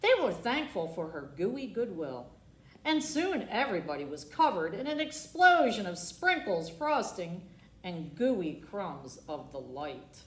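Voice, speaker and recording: A woman's voice: mid-range voice, very animated, unhurried. A woman reading a picture book aloud for children at storytime, theatrical and leaning on the colourful words. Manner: theatrical, emphasizing alliterative words. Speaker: library storytime reader. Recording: roomy, echoing sound.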